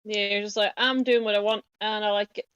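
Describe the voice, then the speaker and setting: A woman's voice: mid-range voice, steady tone, a little fast, fairly bright tone, clean tone, no room sound, lo-fi sound. A woman chatting casually with friends as a podcast co-host.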